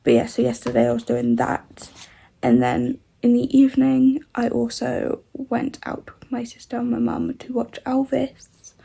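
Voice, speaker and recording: A twenty-year-old woman from the UK chatting in a rambling vlog, her voice rough from a cold. The tone is gravelly and husky, low and mellow, and it sits on a fairly flat pitch. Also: a little slow. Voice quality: rough, odd-sounding voice. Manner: rambling, many "like" and "and that" fillers. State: congested with a cold. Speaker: vlogger. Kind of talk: casual vlog chat.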